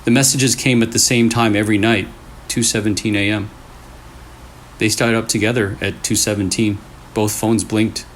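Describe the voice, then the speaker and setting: A man's voice: fairly low pitch, little pitch movement, normal speed, a little hiss or hum. A man hosting and reading scary stories aloud in short, suspenseful phrases, with casual asides. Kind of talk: storytelling with casual reactions between stories.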